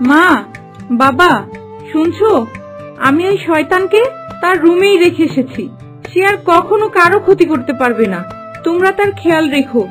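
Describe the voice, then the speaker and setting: Very high-pitched young fish-bride heroine acted by a woman. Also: animated, normal speed, long pauses. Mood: warm, relieved. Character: golden fish wife, young, devoted, cunning and brave. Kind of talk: Bengali fairy-tale cartoon dialogue. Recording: faint music behind the voice.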